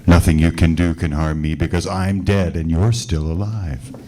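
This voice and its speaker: A man as an accusing ghost husband, deep and cold. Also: fairly even intonation, normal speed, warm tone, lightly acted. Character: vengeful ghost of a murdered invalid husband. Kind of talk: old-time radio drama reading.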